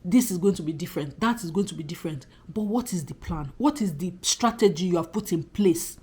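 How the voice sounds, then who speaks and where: A woman in her thirties talks to her subscribers in a casual, heartfelt vlog. Her voice sits a little low and sounds slightly husky and croaky, as if she is just getting over a cold. She leans on emphatic repetition and keeps the tone earnest and chatty. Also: animated, a little fast, darkish tone. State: recovering from a cold. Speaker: YouTuber talking to subscribers. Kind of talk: casual reflective vlog monologue.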